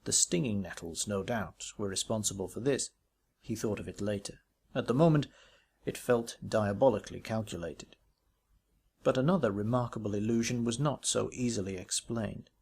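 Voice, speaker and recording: A man reading a ghost story aloud as literary narration, in a measured, expressive way with varied emphasis. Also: fairly low pitch, vocal fry, slightly rough. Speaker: audiobook reader.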